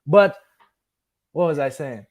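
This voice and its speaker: A man in his early thirties who grew up in Haiti and Miami speaks with a fairly high voice. He hosts a casual live stream, chatting to viewers in a loose, rambling style. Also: takes it slowly, crowd noise. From Haiti, moved to Miami at fourteen. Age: early 30s. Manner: rambling, loses and regains his thread. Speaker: vlogger hosting a live stream. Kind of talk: casual chat, answering live comments.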